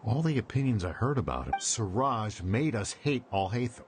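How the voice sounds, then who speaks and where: Deep, remorseful researcher. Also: male voice, sing-song, moderate pace, over music. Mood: guilty, reflective. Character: remorseful, sober male researcher.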